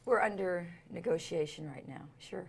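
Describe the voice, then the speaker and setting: A woman's voice: lowish voice, moderate pace, creaky, a little hiss or hum. A woman who is an etiquette expert, a guest on a talk show in a studio, giving emphatic, opinionated advice. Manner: emphatic, opinionated, laughs easily. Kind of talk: casual studio chat.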